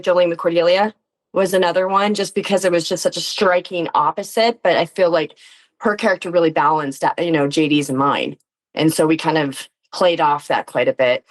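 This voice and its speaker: Lower-pitched woman in casual, giggly chat. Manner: giggly, laughs often while talking. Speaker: guest, player and actor on a tabletop game show. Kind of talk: casual reflective interview chat.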